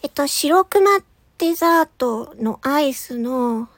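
A woman's voice: high-pitched, lively intonation, takes it slowly, no room sound. A woman chatting casually in a food-tasting ASMR style, murmuring short remarks between mouthfuls and at times dropping toward a whisper. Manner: talks while eating ice cream. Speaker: audio streamer.

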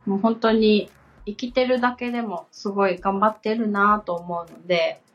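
A woman's voice: mid-range voice, steady tone, normal speed. Female podcast co-host, polite and conversational, simple phrasing. Slightly lo-fi sound over background music. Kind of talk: conversational podcast talk, answering questions.

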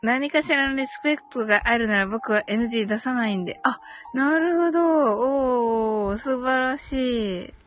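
A woman's voice: fairly high pitch, moderate pace, a bit bright, soft background music. A woman hosting a casual live audio chat, giggly and chatty, reading out comments and murmuring agreement. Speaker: host of a live audio stream.

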